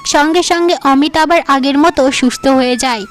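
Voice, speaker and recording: A woman's voice: high voice, moderate pace. A woman narrator delivering scripted fairy-tale narration for a cartoon.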